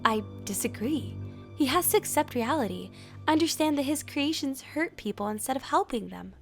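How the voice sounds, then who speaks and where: An earnest, idealistic therapist in a cartoon, acted by a woman. Her voice is fairly high, with formal, carefully articulated phrasing. She sounds composed and firm, with faint music behind her. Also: animated, moderate pace. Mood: earnest, concerned. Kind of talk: animated film voice acting.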